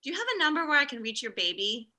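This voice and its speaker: Woman playing a piercingly bright, blunt character. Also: sits high, very animated, very fast, heavily acted. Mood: blunt, gloomy. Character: blunt comedy booker and chirpy mommy-group leader. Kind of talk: comedy table read of an animated pilot.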